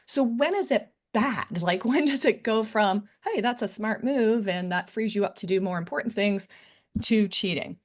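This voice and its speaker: A woman's voice: medium pitch, animated, normal speed. A woman presenting to faculty in a conversational style, with frequent fillers and "right?" tag questions. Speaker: academic integrity director giving a faculty talk. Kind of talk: conference presentation.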